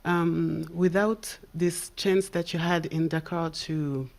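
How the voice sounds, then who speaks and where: A woman's voice: lowish voice, steady tone, slow, a little mellow. A woman architect from Cameroon asking a question from the audience, hesitant and searching for words.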